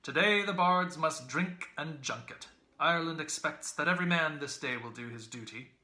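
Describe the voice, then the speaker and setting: Guy doing a booming young irish med student from a novel, teasing and grand, bright voice in an echoey room. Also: moderate pace. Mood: jovial, grandiose. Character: loud, mocking, theatrical young Irish medical student. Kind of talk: literary audiobook character reading.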